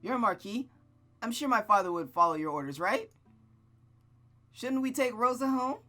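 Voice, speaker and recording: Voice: mid-range voice, animated, normal speed, pushed and bright, faint music behind, pitched above the performer's own voice. A reader voicing a headstrong, chatty young girl from a visual novel, earnest, eager and insistent. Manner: eager, emphatic, pleading. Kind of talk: visual novel read-aloud character voice.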